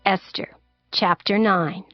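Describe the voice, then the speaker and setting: A female narrator reads scripture in a formal, measured storytelling manner. Her voice sits at a medium pitch and stays solemn. The recording is a little muffled, with music underneath. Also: fairly even intonation, no room sound. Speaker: audiobook narrator.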